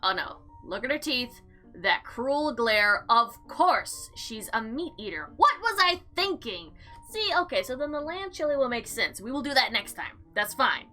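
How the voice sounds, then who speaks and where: A woman plays through a visual novel, reading it aloud and breaking off for casual, playful comments. Her voice is high and bright, swinging widely in pitch as she goes. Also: unhurried, faint music behind. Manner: expressive, playful asides. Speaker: gaming video host.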